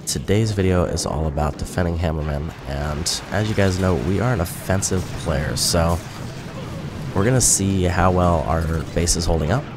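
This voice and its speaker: A man's voice: sits low, normal speed, background noise. A man giving casual, rambling commentary over his gameplay video, sounding a little nasal from a cold. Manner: rambling, self-correcting, chatty. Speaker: gaming YouTuber. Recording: music playing behind.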